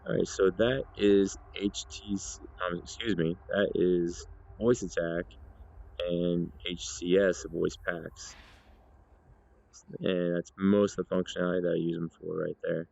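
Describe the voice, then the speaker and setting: A man's voice: deep voice, level tone, a little slow, vocal fry, darkish tone, dull audio. A man presenting a casual gaming demonstration, explaining things and correcting himself as he goes.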